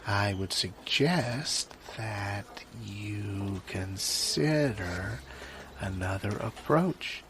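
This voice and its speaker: A placating, decorous store manager played by a man with a low voice. He is measured and hesitant yet condescending, in an improvised comedy sketch with audible background ambience. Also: fairly bright tone, heavily acted. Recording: clear background ambience noise.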